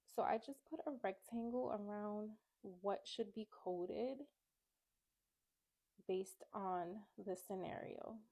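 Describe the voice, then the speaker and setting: A woman's voice: medium pitch, mellow, dry recording, poor audio. A young woman, a little congested from a cold, casually walking through medical coding guidelines step by step. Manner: chatty, explains step by step. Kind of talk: casual study walkthrough. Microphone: phone camera.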